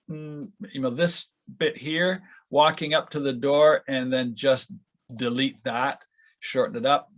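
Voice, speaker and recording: Man giving a halting software walkthrough. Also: medium pitch. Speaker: audio editor demonstrating at a conference session. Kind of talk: informal software tutorial. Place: online video call with screen sharing.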